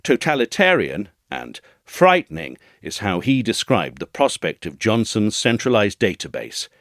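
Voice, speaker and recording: A man reading a scripted essay as narrator, in a measured, formal way with dramatic phrasing. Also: fairly low pitch, sing-song, fairly bright tone, no echo, clean studio sound.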